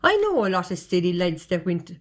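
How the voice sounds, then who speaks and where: A woman's voice: on the low side, big pitch swings, fairly quick. Nosy Irish village post carrier, played by a woman. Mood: prying. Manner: gossipy, roundabout, folksy. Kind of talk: amateur stage comedy-drama acting.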